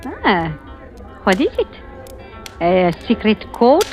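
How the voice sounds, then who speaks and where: A woman's voice: on the high side, wildly swooping pitch, slow pace, darkish tone, soft background music, full character voice. An actress playing a flirtatious, curious woman in a nightspot, in 1940s radio drama style. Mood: coy, playful.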